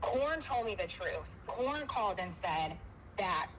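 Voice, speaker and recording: A woman with a medium-pitched voice argues heatedly on a personal phone call. She is emphatic, circles back over her points and pushes through interruptions. There is some noise in the recording. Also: big pitch swings, measured pace, fairly bright tone. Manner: emphatic, repetitive, talks over the other speaker.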